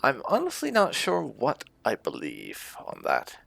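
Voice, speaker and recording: A woman's voice: a bass voice, huge pitch swings, unhurried, vocal fry, fairly bright tone. A woman doing roleplay game commentary, musing and hesitant, with thoughtful hums as she reads dialogue choices aloud. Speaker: gaming video host and player.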